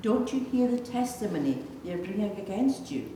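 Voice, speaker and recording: A woman's voice: mid-range voice, sing-song, brisk, warm tone. A woman reading character parts in a scripted, dramatised Bible reading in a large church. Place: large reverberant church, read aloud. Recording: echoey church hall, distant, faint noise.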